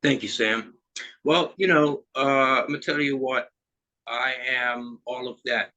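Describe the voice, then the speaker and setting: A man in his fifties who grew up in Trinidad chats as an interview guest, laid back and meandering, with lots of "you know" and "man". His voice sits at an ordinary pitch. The sound is dull and a bit lo-fi. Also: slow pace, pushed and bright. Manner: easygoing, rambling with many fillers. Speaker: musician guest. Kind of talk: casual interview chat.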